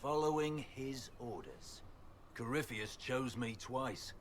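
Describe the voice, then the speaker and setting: An actor playing a bitter, ruthless, taunting general, a zealous armoured villain in a video game. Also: male voice, medium pitch, expressive, takes it slowly. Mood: boastful menace. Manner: taunting, menacing. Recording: noisy game audio with ambience.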